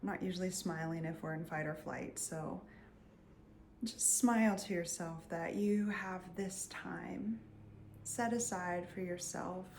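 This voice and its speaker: A woman leading a guided meditation in a soft, soothing voice, leaving long pauses and sometimes trailing near a whisper. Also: on the low side, fairly even intonation, vocal fry, a little hiss or hum. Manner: soothing, unhurried, with long pauses between phrases. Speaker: meditation guide and energy healer.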